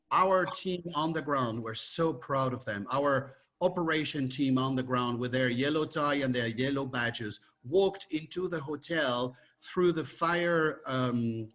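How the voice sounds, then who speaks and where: A man's voice: a little high, a bit bright. A man who grew up between France and Morocco, speaking passionately as a travel company director in a webinar, with frequent fillers and restarts. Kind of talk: webinar talk. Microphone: video call.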